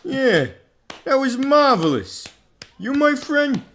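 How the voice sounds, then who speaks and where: A man's voice: very high voice, sing-song, takes it slowly, fairly bright tone, a little hiss or hum, exaggerated voice. A man playing a brash, self-serving hustler in a radio drama, with slangy, wisecracking showman talk. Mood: cocky, persuasive.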